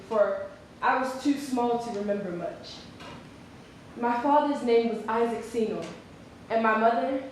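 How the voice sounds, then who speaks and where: A woman performing a staged dramatic reading of a written letter. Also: on the high side. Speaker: performer reading historical newspaper ads. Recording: very echoey hall, faint noise.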